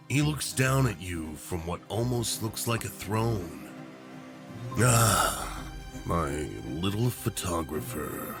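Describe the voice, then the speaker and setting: A man reading scripted second-person story narration in a measured, hushed storytelling manner. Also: on the low side, creaky.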